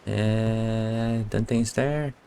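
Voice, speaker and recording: A man's voice: fairly low pitch, fairly even intonation, extremely slow, gravelly, dark tone, a little hiss or hum. A man giving casual live playthrough commentary as a game streamer, with offhand, laconic reactions.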